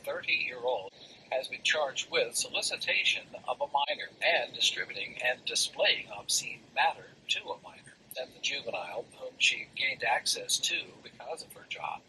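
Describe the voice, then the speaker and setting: A man's voice: mid-range voice, sharp tone, slight background noise, poor audio. A man with an American accent reading a scripted news report as a reporter.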